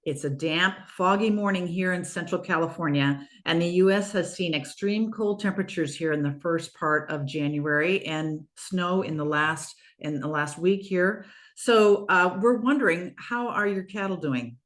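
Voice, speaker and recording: She is a woman hosting a podcast, and her voice sits somewhat low. She welcomes listeners in a friendly, upbeat way and introduces the guests. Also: a bit bright. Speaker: podcast host.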